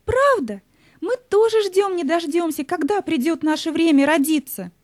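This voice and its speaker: A tiny fairy-tale child full of wonder. The pitch is extremely high and the delivery eager, in a dry audio-play recording. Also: female voice, moderate pace, dry recording.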